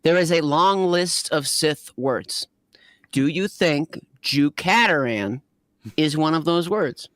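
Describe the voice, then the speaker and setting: Skeptical man, slightly high, dry sound. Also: lively intonation, slow, a bit bright, no echo. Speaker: panelist on a fan fiction reading show. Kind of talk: casual joking discussion.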